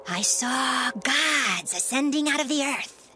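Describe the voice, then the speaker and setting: A woman's voice: sits high, lively intonation, takes it slowly, sharp tone, heavily acted. Fearful, swooping woman spirit medium. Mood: awed. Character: fearful, suspicious woman medium of ancient Israel. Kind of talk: dramatized scripture acting in archaic English. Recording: music under the voice.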